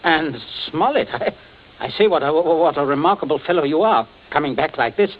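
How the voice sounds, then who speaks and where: A man's voice: on the high side, lively intonation, normal speed, natural voice. An actor playing a pompous, scheming middle-aged father in an old radio suspense play, with a hesitant, clipped, condescending manner. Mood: smoothly evasive. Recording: muffled, hissy old radio recording.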